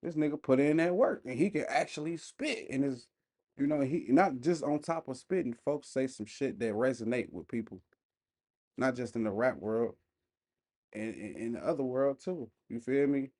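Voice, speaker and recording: A man's voice: medium pitch, normal speed, a little mellow. A man giving casual, off-the-cuff reaction commentary, speaking in emphatic slang straight to his viewers. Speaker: reaction video host.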